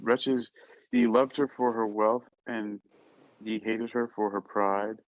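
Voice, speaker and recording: A man's voice: fairly low pitch, steady tone, slow, no room sound. A man chatting casually as a podcast host about poetry, questioning things in a playful, mock-exasperated manner. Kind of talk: casual chat discussing and reading poetry. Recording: dull lo-fi sound.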